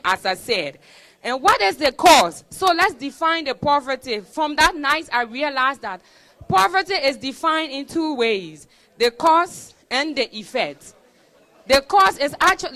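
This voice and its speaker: A young woman gives a motivational speech to students. Her voice sits high and sounds bright and sharp. She tells her story emphatically, circling back to repeat key lines. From Liberia. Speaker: student speaker at a celebration.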